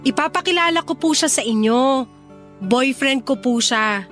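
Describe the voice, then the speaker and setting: A woman's voice: sits high, lively intonation, a little slow, a bit bright, soft background music. A woman with a Filipino accent playing an earnest, defiant young adult daughter in love in a radio drama. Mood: nervous. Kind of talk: Tagalog radio drama acting.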